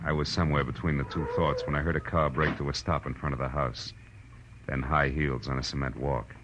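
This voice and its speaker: An American actor playing a cocky, hard-boiled private detective in 1940s radio noir, with a wry, clipped and sardonic delivery. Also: male voice, extremely low pitch, steady tone, a little fast, background noise. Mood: brooding, wry narration. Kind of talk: 1940s radio noir acting, first-person narration and dialogue. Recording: dull vintage radio recording with music.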